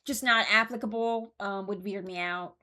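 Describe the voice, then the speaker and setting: A woman's voice: medium pitch, fairly even intonation, moderate pace, pushed and bright. A woman giving casual commentary, thinking aloud in a hesitant, deliberating way and often correcting herself. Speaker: host ranking game voice lines.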